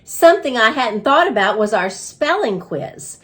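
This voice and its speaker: Woman voicing an earnest little hamster narrator, lively and high. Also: normal speed. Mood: earnest, indignant. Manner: expressive, exclamatory storybook telling. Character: small eager male classroom hamster narrator, self-important and earnest.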